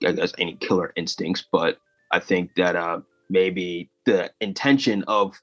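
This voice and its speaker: A man in his twenties, a guest on a podcast, talking in a relaxed, hesitant way with lots of fillers. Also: low-pitched, measured pace, no echo, muffled recording. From United States. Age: 20s. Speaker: animator and guest. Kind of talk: casual interview chat.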